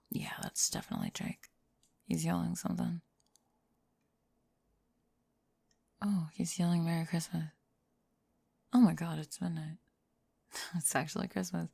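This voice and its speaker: A woman playing a prickly but secretly affectionate tomboy friend in an ASMR roleplay, sleepy and often whispering, deadpan and grudgingly tender. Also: fairly low pitch, vocal fry, no room sound, pitched below the performer's own voice, full character voice. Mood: dry amusement. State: drowsy. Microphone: close ASMR microphone.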